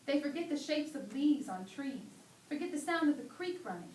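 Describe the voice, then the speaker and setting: Very high-pitched woman, echoey dramatic reading. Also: expressive, a little fast, a little mellow. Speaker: student performer reading a dramatic sermon. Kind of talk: dramatic staged reading of a sermon. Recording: echoey distant hall, faint background noise.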